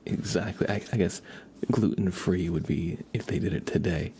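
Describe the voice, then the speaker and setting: A young man giving a soft, whispered ASMR tarot reading while chatting in a relaxed, rambling way with viewers. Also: deep voice, normal speed, a little hiss or hum.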